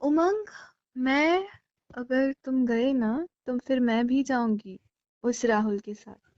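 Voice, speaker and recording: A clingy, jealous young girlfriend played by a woman argues in a fairly high voice. She is sulky, insistent and pleading, in a casual romantic call drama. The recording is slightly dull and lo-fi, like a phone call. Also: slow, a little mellow. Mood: annoyed, threatening.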